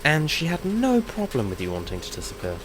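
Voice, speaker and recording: An actor playing a brash, teasing monster hunter and comic book nerd in an audio drama. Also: male voice, on the high side, huge pitch swings, a little fast, slight background noise, rough recording. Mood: probing.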